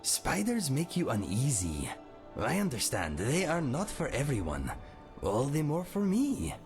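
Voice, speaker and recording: A man's voice: medium pitch, wildly swooping pitch, music in the background. Fantasy game cat-man: odd, playful, formally spoken, wildly varied intonation. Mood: dry, teasing. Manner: formal, measured phrasing without contractions. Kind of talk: fantasy video game voice acting.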